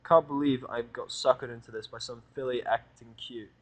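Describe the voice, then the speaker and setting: Male narrator, medium pitch, wry, world-weary, expressive, dramatic first-person storytelling. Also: normal speed. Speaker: narrator of fan fiction.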